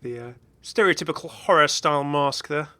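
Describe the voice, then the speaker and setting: A man's voice: fairly high pitch, a little fast, pushed and bright. A man giving casual, off-the-cuff game commentary as a video host, chatty and rambling with enthusiastic asides.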